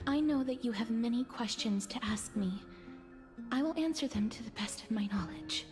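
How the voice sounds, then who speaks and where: An actress playing a gentle, earnest young woman in a video game, polite and solemn, speaking softly and hesitantly. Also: a little high, moderate pace, close to an everyday voice. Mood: apologetic. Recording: music clearly behind the voice.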